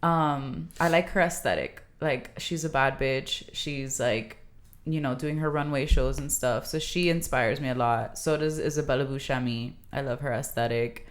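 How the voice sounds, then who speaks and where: Low-voiced laid-back young woman. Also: moderate pace. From Miami, Florida. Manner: laid-back, giggly, frank. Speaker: podcast guest, model. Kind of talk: casual chat.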